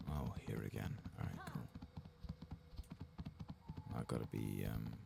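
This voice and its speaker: A soft-spoken man murmurs his way through a game playthrough, his extremely low voice heavy with fry. He thinks aloud casually, swears freely and slips into whispers, with clear noise behind him. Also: measured pace. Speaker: host of an ASMR game playthrough. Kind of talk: soft-spoken ASMR gaming commentary.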